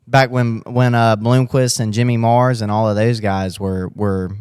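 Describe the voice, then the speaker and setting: A man's voice: medium pitch, flat delivery, unhurried, a little mellow, clean tone, no echo, studio quality. A man hosting a casual racing podcast interview, rambling and restarting his questions as he goes. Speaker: podcast host.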